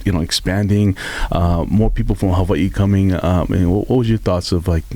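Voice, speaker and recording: A man hosting a casual island music radio show, talking in a relaxed, halting way with many fillers. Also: low voice, dry recording. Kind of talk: casual talk show interview. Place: studio.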